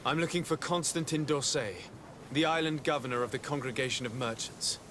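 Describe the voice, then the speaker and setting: A male actor voices a young noble diplomat in a fantasy game, a man of medium pitch. He is formal, resolute and used to questioning people with authority. A clear outdoor rumble sits behind the voice. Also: fairly quick, lightly acted. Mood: earnest, resolute. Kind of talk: video game cutscene acting.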